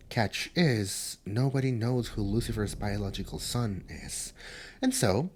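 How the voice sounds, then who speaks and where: A man voicing a nervous, gossipy male demon in an ASMR audio drama, talking in hushed tones and stammering often. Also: fairly low pitch, slight background noise, natural voice.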